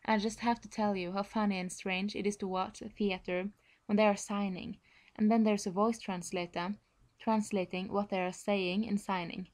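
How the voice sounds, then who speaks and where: A woman's voice: medium pitch, fairly even intonation, normal speed, a little mellow. Norwegian-accented woman giving a presentation. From Norway. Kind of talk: prepared informational presentation.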